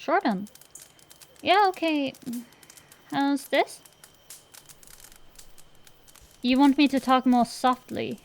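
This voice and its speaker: Woman acting a slow-talking, brash tomboy dragon girl. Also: high-pitched, expressive, full character voice. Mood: softening, awkward. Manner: teasing, blustering, easily flustered. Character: brash tomboy tsundere dragon girl sorceress, gambler, secretly fond. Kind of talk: intimate roleplay ASMR audio drama.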